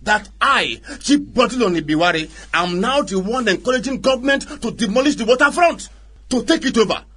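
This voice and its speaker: A man's voice: very high voice, lively intonation, brisk, a bit bright, husky, full character voice. An actor playing a proud, aggrieved Nigerian chief in a radio drama, declaiming his accusations indignantly with a Nigerian accent. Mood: angry, accusing.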